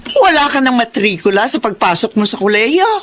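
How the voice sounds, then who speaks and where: Supportive elderly Filipina grandmother, motherly, animated, slightly high voice, radio melodrama. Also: fairly quick. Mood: caring, curious. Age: older. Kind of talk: Filipino radio drama acting.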